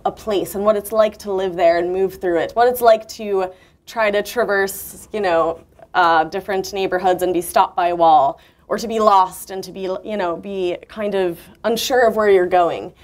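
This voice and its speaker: A woman's voice: mid-range voice, fairly even intonation, moderate pace. A woman author at a book launch conversation, thinking aloud at length with fillers and restarts, heard from a far camera in a university lecture room.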